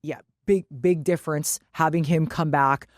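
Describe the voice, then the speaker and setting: A woman's voice: sits low, fairly even intonation, normal speed, no room sound, studio quality. A woman co-hosting a sports podcast, talking casually and emphatically with loose swearing. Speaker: podcast co-host.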